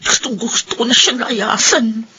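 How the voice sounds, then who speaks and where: A woman's voice: fairly high pitch, a little slow, sharp tone, slightly rough, highs cut off, full character voice. A woman playing a put-upon, aggrieved eighteen-year-old student in a Filipino radio drama, with a plaintive delivery. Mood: bitter, confiding.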